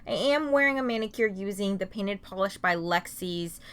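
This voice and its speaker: A young woman hosts her beauty channel in a chatty, upbeat way, talking through one item after another. Her voice is bright and forward, and her pitch rises and dips as she talks. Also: expressive, normal speed, clear, resonant tone. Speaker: beauty vlogger. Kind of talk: casual product review.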